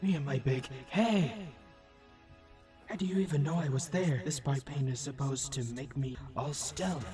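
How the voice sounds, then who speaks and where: A man's voice: a little high, moderate pace, natural voice. A man voicing a nervous, flippant little robot scout in a comic dub, hesitant and informal in his talk. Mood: nervous bravado. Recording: music behind the voice.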